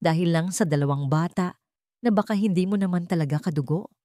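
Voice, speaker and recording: A woman's voice: lowish voice, brisk, mellow, no room sound, lightly acted. Woman doing a bossy rich old dad in a drama story, cold and full of contempt, dry sound. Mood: scornful. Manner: commanding, contemptuous. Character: cold, domineering old billionaire father.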